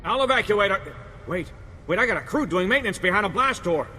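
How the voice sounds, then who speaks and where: A man's voice: animated, fast talker, bright, forceful tone. A man playing a panicky, indecisive junction chief in a game, stammering and urgent. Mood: pleading. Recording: long reverb, rumbling noise.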